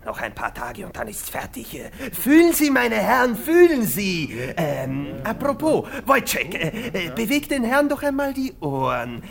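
Pompous doctor with a high, swooping voice. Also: male voice, wildly swooping pitch, unhurried, gravelly, few pauses, exaggerated voice. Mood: bullying, gleeful. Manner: pompous, exclamatory, theatrical lecturing. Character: pompous, callous doctor lecturing students, manic and condescending. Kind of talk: radio drama acting.

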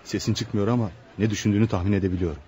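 A man's voice: on the low side, fairly even intonation, rapid-fire, mellow, a little hiss or hum, close to an everyday voice. An actor playing a brusque, cynical male lawyer in a radio play, scolding and insistent with his friend. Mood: exasperated. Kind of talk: radio theatre acting.

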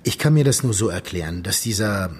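An actor playing the grave, loyal friend of a murdered man in a radio drama, speaking in an earnest, measured way. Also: fairly low pitch, animated, a little mellow, slight background noise, natural voice. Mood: earnest, firm. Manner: measured, earnest, telling things step by step. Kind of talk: German radio drama dialogue.

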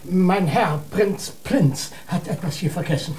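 A man's voice: high voice, a little slow, darkish tone, slight background noise. A man playing a deferential, flustered court marshal in a children's radio play, polite and fussy.

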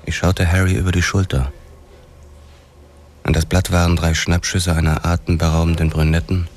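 A man narrating a radio drama in the first person, in a measured, hard-boiled way, with faint music underneath. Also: rumbling low, darkish tone.

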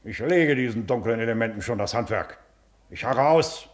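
A self-important Prussian official, played by a male actor in a classic radio drama. His medium-pitched voice leaps and drops dramatically as he lectures in an officious, patronizing manner. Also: expressive, normal speed, close to an everyday voice. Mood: pompous. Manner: pompous, officious, declamatory. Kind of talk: classic German radio play acting.

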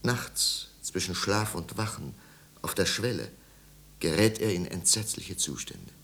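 A man's voice: deep voice, expressive, a little slow, fairly bright tone, heavily acted. A man narrating a literary tragedy in a 1950s radio play, grave and intense, with careful articulation. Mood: somber.

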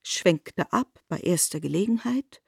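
A woman's voice: lowish voice, lively intonation, unhurried, vocal fry, darkish tone, breathy edge, dry recording, clean studio sound. A woman narrating a literary radio drama as a scripted storyteller.

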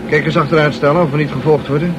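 A man's voice: a little high, fairly even intonation, very fast, background noise, lightly acted. An actor playing a cheeky, reckless newspaperman in an old radio play, flippant and ironic. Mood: amused, jaunty. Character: cheeky, reckless male newspaperman amused by danger.

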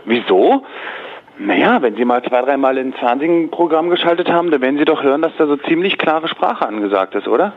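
A man's voice: on the high side, expressive, brisk. A man taking a business call, speaking in a wordy, hedging, politely dismissive way. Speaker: dubbing studio employee taking a call. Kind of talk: phone conversation. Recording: tinny narrow-band phone line.

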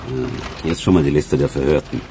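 An actor in a radio play playing a wry police investigator with a dry, sardonic manner. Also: male voice, extremely low pitch, steady tone, fast talker, background noise.

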